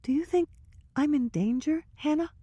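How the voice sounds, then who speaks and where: A woman's voice: sits high, animated, moderate pace, darkish tone, no echo, voice pushed up. A woman voicing a nervous, gossipy young woman who talks in a hesitant, breathless way.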